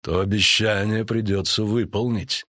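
A man voicing a calm, stern islander lord with a sullen, cutting manner in a fantasy audiobook. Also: lowish voice, normal speed, no room sound, studio quality, lightly acted. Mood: sullen, biting.

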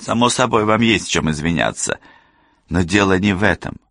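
A man's voice: deep voice, animated, brisk, a bit bright, no echo, studio quality. A man voicing a cold, haughty young woman who talks down to people with smug, imperious disdain. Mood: indignant, disdainful. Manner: condescending, imperious.

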